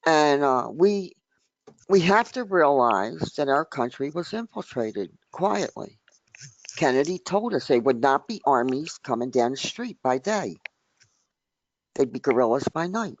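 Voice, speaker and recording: A man in his sixties from the area near Washington D.C., speaking in a halting, emphatic way with many restarts during a casual group discussion. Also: fairly high pitch, animated, no echo. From United States, near Washington D.C. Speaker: open mic speaker. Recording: dry, dull lo-fi recording.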